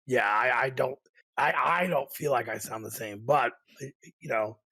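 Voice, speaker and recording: A man with a cold who sounds hoarse, co-hosting a casual gaming podcast and stumbling through halting restarts on a desk condenser mic. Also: mid-range voice, bright, forceful tone. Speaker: podcast co-host.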